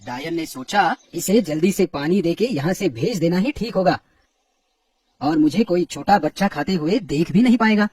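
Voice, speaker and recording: A man's voice: high voice, expressive, moderate pace, a little mellow, husky, no room sound. A man narrating a scripted horror story for children.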